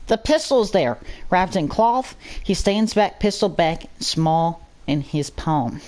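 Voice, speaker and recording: A channel host narrating a scripted story aloud in a measured reading style. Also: medium pitch, animated, a little mellow.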